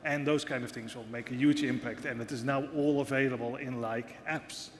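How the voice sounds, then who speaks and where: A man's voice: mid-range voice, steady tone, moderate pace, a little hiss or hum. A man from the Netherlands with a Dutch accent, speaking earnestly as a panel speaker. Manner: earnest, repeats words when starting. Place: large hall, conference stage. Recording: hall reverb, faint ambience.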